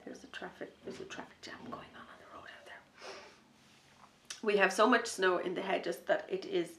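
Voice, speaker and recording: Gravelly, enthusiastic woman in an echoey room. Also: medium pitch, moderate pace. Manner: enthusiastic, rambling, drops to a soft near-whisper when excited. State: starting a slight cold, sniffly. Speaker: knitting podcast host and designer. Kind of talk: casual chat to camera.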